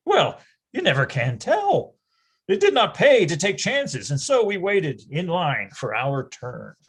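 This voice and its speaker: Man playing a high-voiced, animated nostalgic narrator. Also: unhurried, husky, heavily acted. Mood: wry nostalgia. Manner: storytelling, mock-grandiose, emphatic. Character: wry grown man reminiscing about his boyhood. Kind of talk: scripted dramatic narration, readers theatre.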